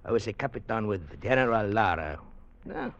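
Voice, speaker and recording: An actor playing a genial, philosophical café keeper and old revolutionary in a radio drama, with a Spanish accent and exclamatory, searching English. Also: male voice, fairly low pitch, expressive, moderate pace, gravelly, fairly bright tone. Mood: rueful. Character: genial Latin American café keeper, former revolutionary captain. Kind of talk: 1940s-50s radio drama acting. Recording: dull vintage radio recording.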